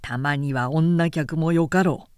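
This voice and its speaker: A woman voicing a composed, easygoing male samurai lord in a storybook reading, calm and casually in command. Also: low-pitched, measured pace, smooth, no echo, clean studio sound. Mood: relaxed.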